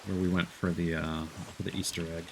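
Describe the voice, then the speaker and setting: A man giving casual commentary while playing a co-op game, guiding a teammate and thinking aloud haltingly. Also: sits low, flat delivery, vocal fry, crowd noise, low-quality recording. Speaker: streamer guiding a co-op player.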